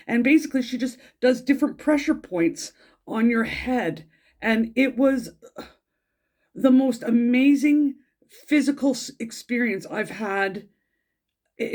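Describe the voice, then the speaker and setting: Middle-aged woman, tarot reader, croaky with a cold, mid-pitched, rambling and effusive. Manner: rambling and gushing, many ums and restarts. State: croaky throat and snuffly from a cold. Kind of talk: casual weekly vlog chat.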